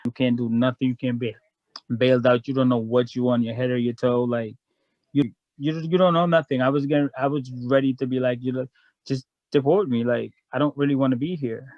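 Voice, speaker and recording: A man's voice: mid-range voice, fairly even intonation, brisk, no echo, muffled recording. A man from Guyana, a guest in a casual chat, telling a long story in a frank, rambling way.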